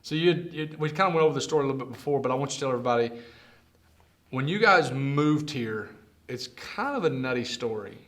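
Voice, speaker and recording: A man hosts a relaxed conversational interview. His voice sits at a middle pitch for a man. He is effusive and informal, chatting with his guest like a friend. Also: fast talker. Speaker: interview host.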